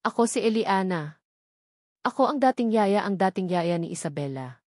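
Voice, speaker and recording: A woman's voice: medium pitch, animated, moderate pace, a little mellow, no room sound, clean studio sound, close to an everyday voice. A woman voicing a frail elderly former nanny in a story, sorrowful and confiding, with a weak voice. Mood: gentle, confiding. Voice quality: weak, breaking voice.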